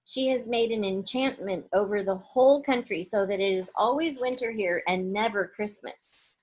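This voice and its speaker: A woman's voice: mid-range voice, moderate pace, darkish tone, close to an everyday voice, rough recording. A woman voicing an earnest, emphatic young girl in a storybook. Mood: indignant.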